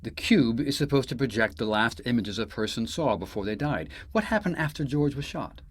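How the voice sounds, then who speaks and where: An actor playing a controlled, rational senior scientist in an audio drama, a man with a measured, authoritative manner. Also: medium pitch, animated, husky, no echo. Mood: firm, dismissive. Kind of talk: binaural audio drama acting.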